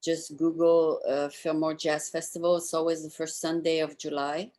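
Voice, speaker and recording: A woman's voice: low voice, little pitch movement, normal speed, dark tone. A woman. She talks casually, hosting a conversational interview. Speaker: talk show host.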